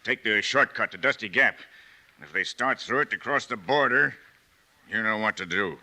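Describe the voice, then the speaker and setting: A crooked, blustery sheriff. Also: male voice, fairly low pitch, normal speed, sharp tone. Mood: scheming. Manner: blustery, evasive. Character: crooked, shifty male town sheriff, middle-aged. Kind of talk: 1950s radio western acting.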